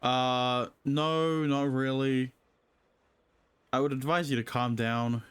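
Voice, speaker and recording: A man's voice: mid-range voice, steady tone, slow pace, fairly bright tone, clean tone, no echo. A man giving casual, sceptical live commentary as he plays a game, talking back to the characters. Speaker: gamer reacting during playthrough.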